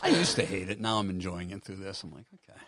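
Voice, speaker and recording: A man with a nasal, gravelly voice, teasing his co-hosts in casual podcast banter. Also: deep voice, very animated, quick pace, crowd noise. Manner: teasing, joking, laughs often. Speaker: podcast co-host.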